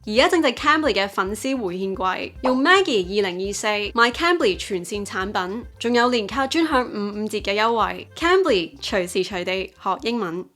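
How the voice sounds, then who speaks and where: A woman's voice: mid-range voice, lively intonation, normal speed, hardly any pauses, fairly bright tone, soft background music. A young adult woman with a Hong Kong accent, narrating a vlog and switching between two languages. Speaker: host, English teaching YouTuber. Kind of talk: vlog narration mixing Cantonese and English.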